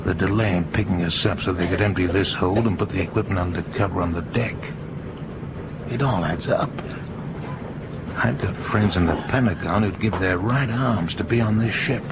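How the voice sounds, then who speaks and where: A man acting in a radio drama as a thoughtful, suspicious passenger, speaking in a hushed, deliberate way. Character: thoughtful, suspicious male passenger plotting quietly. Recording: loud rumbling background noise.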